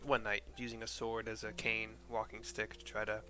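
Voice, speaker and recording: A man's voice: lowish voice, completely flat delivery, moderate pace, bright, forceful tone, a little hiss or hum. A man streaming a video game, narrating scenes and commenting casually with rambling, informal, sweary asides. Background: game music.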